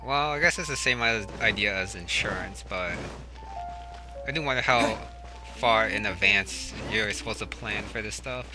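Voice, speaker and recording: A man's voice: lowish voice, moderate pace. A man giving casual let's play commentary, muttering and thinking aloud as a gamer. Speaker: gamer narrating own playthrough. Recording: game music behind the voice. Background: game music.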